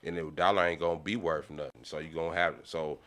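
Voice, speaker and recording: A man's voice: very deep voice, fairly even intonation, fast, a bit bright. An American man talking casually as a podcast panelist, emphatic, repeating himself and often checking that listeners follow. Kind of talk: casual opinion debate.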